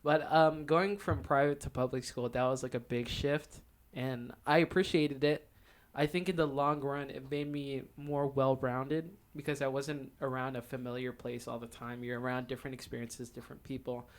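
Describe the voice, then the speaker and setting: A man's voice: medium pitch, level tone, moderate pace. A young man co-hosting a podcast, chatting casually and telling personal stories with frequent laughter. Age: young adult. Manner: giggly, often laughs while talking. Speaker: podcast co-host. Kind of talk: casual chat, personal storytelling.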